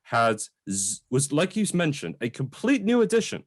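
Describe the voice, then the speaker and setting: A man's voice: fairly high pitch, sing-song, normal speed. A man co-hosting a casual review discussion, speaking informally and opinionatedly with some swearing. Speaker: podcast co-host reviewing films.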